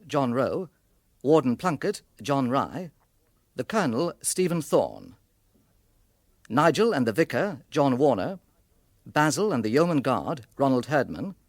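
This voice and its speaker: A man's voice: a little high, no echo, studio quality. A man reading scripted radio credits as the announcer.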